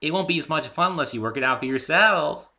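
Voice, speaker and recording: Man putting on a bubbly, high-pitched girl pony. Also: animated, fast talker, bright, forceful tone, heavily acted. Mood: teasing. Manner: exuberant, teasing, gushing. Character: bubbly, excitable, scatterbrained young female cartoon pony. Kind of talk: dramatic story reading.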